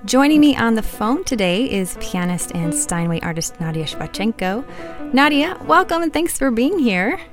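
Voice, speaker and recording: A woman hosting a radio interview, friendly and smooth, with brief prompts. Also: on the high side, big pitch swings, moderate pace, music in the background. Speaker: radio host.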